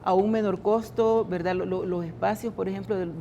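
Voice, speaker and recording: Halting woman official, mellow voice. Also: medium pitch, steady tone, muffled recording, slight background noise. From El Salvador. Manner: halting, many restarts and repeated words. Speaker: government culture secretary, interview guest. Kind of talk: interview answers.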